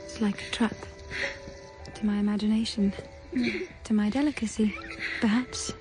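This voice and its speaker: An actress playing a young governess in a gothic period audio drama, earnest and anxious, with a breathy, confiding way of speaking that often drops toward a whisper. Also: female voice, medium pitch, steady tone, normal speed, over music, lightly acted. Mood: enraptured. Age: young adult. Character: young governess, earnest, anxious, dreamily enraptured by her charges.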